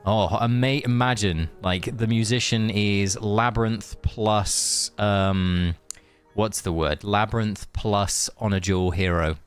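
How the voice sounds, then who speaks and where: A man's voice: lowish voice, fairly even intonation, slow, clear, resonant tone, soft background music. A man chatting casually on a game live stream, rambling and answering viewers as he plays. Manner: rambling, chatty, reads chat aloud.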